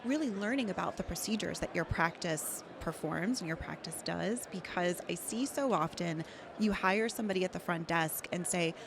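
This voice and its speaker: A woman's voice: lowish voice, normal speed. A woman speaking as an expert guest in an interview, giving emphatic, instructive advice in a conversational way. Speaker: practice management consultant and guest speaker. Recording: clear background noise, urban ambience.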